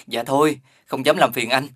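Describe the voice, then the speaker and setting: An actor playing a humble, honest young younger brother in an animated fairy tale, speaking politely and earnestly. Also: male voice, mid-range voice, measured pace, lightly acted. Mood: polite, modest.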